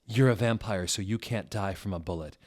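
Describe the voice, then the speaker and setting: An evil dark lord, acted out by a man in a parody fan fiction reading. He is suave and coaxing, with an exaggerated theatrical way of talking. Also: lowish voice, a little fast, natural voice. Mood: reassuring.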